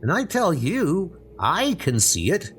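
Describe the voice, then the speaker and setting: A man's voice: high voice, wildly swooping pitch, slow pace, fairly bright tone, slight background noise, exaggerated voice. A man voicing a brave, earnest, eager young hero in a dramatic comic book audio drama. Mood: earnest, determined.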